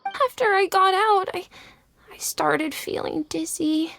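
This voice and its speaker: A woman voicing a small sick boy in a game, slow, halting and timid. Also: very high-pitched, animated, vocal fry, fairly bright tone, a little hiss or hum, heavily acted. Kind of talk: video game voice acting.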